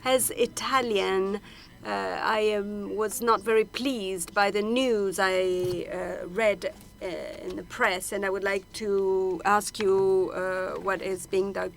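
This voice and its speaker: Woman interpreter at a panel, slow and halting, lots of pauses and restarts, mid-range voice. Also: medium pitch, fairly even intonation, vocal fry. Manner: halting, with frequent hesitations and restarts. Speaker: conference interpreter. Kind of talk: simultaneous interpretation of a panel discussion.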